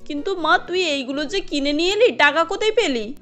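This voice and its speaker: A woman acts out a careworn cartoon mother. Her voice is high, and she talks in a motherly, urging way with a fretful edge. There is light music underneath. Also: expressive, a little fast, a bit bright. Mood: dejected, worried. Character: poor, caring, careworn mother of five daughters. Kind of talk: Bengali cartoon dialogue.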